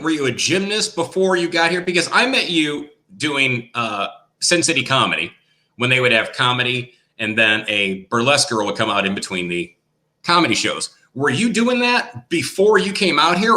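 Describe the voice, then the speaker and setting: A man's voice: fairly high pitch, animated, normal speed, bright, forceful tone. A man hosting a casual interview, joking at his own expense and asking questions. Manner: jokey, self-deprecating, asks questions. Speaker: podcast host.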